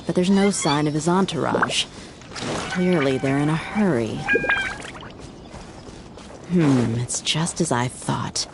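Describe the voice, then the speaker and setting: A low-voiced, bold mercenary. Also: female voice, noisy background. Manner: confident, easygoing, decisive. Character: confident grown woman mercenary, bold and self-assured. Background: game music.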